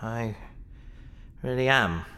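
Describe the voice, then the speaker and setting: An actor playing a disturbed, self-loathing man in a sci-fi audio drama, with a bitter, halting, desperate manner. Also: medium pitch, sharp tone, smooth. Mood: bitter despair. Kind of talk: science fiction audio drama acting.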